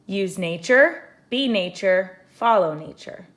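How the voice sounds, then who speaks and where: A woman voicing a grave male ninja master in a storybook, speaking in terse, measured pronouncements. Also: medium pitch, animated, a bit bright. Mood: solemn, teaching. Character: solemn, commanding old-world male ninja master.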